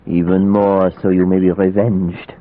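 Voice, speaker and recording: Deep, solemn vampire hunter. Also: male voice, level tone. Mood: solemn, insistent. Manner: solemn, deliberate, commanding. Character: grave, insistent foreign professor, vampire hunter. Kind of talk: 1940s radio acting. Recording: tinny echoing old radio recording.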